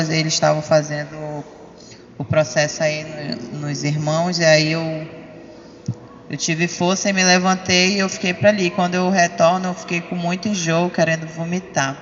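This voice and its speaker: A Brazilian man with a fairly high, monotone voice recounts a religious testimony in a plain, halting way. He is heard in an echoing hall with some noise. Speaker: church member giving testimony. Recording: noisy, dull, reverberant hall recording.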